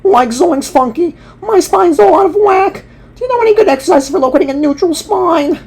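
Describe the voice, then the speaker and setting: A man's voice: extremely high pitch, animated, a little fast, vocal fry, darkish tone, exaggerated voice. A man voicing a lanky, goofy, anxious young cartoon slacker with a whiny, cracking voice and filler-heavy slang. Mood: alarmed. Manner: whiny, peppers sentences with "like".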